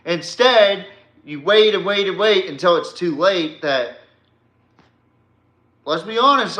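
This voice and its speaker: Male sports podcast host, forceful and slightly high voice, blunt and profane ranting, roomy echo. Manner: emphatic, addresses his subject directly. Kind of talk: opinionated sports rant. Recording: echoey, reverberant room.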